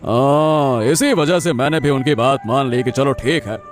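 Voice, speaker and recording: A man's voice: mid-range voice, a little slow, soft background music, close to an everyday voice. A man voicing an authoritative, questioning king in a cartoon story. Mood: questioning, commanding. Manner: commanding, questioning. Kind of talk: Hindi cartoon story voice acting.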